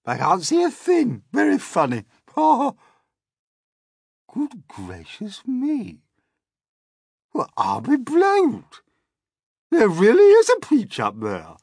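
Brief: bossy, greedy aunt for children's story, scornful bluster, hugely varied pitch, husky edge played by a man. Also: huge pitch swings, takes it slowly, pauses often, a little mellow, no room sound, studio quality, full character voice. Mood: astonished delight. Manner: blustering, exclamatory. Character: greedy, scoffing, bossy middle-aged aunt, a woman. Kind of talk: dramatic children's storybook character reading.

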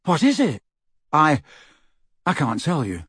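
Man voicing a harried, husky teenage boy hero. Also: on the high side, wildly swooping pitch, slow pace, fairly bright tone, no room sound, clean studio sound, pitched above the performer's own voice, full character voice. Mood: evasive. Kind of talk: audiobook character voice.

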